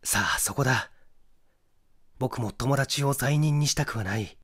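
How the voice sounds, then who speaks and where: A man voicing a methodical, assured young amateur detective in an audiobook, with deliberate, emphatic explanation. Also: mid-range voice, a bit bright, husky. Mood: grave.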